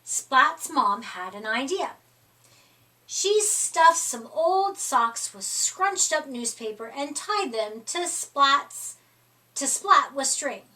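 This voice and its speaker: A woman reading a children's picture book aloud as expressive storytime narration, recorded a little way from the microphone. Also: sits high, slow pace, recorded from a distance, low-quality recording. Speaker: storytime reader.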